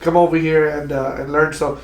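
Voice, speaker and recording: A man's voice: on the high side, flat delivery, brisk, creaky. A man from Pakistan hosting a casual interview podcast, often repeating words and restarting his sentences. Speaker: podcast host. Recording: echoey room.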